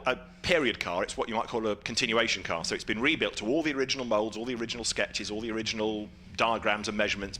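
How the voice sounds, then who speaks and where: Low man with a bright, forceful voice; enthusiastic, descriptive motorsport commentator. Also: a little hiss or hum. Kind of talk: casual live commentary.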